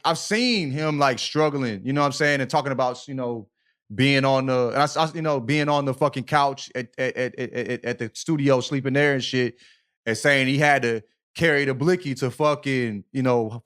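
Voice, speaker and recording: A man talks as a podcast co-host in loose, slangy conversation. His voice sits at a middle pitch. He is emphatic and opinionated, circling back over his words and adding little check-in tags. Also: fairly bright tone. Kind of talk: casual opinionated podcast chat.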